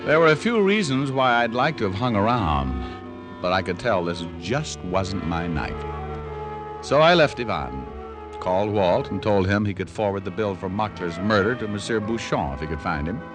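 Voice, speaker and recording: Low-voiced wisecracking American detective. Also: male voice, normal speed, over music. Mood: wry, narrating. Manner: wry, deadpan wit. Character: wisecracking, cool male private detective narrating his case. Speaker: lead actor and narrator. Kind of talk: old-time radio detective narration.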